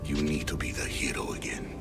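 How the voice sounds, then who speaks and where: Very deep, gravelly ancient sage. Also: male voice, fairly even intonation. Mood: urging. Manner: slow, portentous, deliberate. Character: solemn ancient father, a grave mystical sage. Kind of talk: dramatic video game cutscene monologue. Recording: loud music under the voice.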